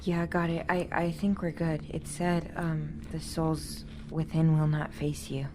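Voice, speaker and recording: Slow-talking woman at a tabletop game, noisy room. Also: sits low, little pitch movement. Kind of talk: casual chat.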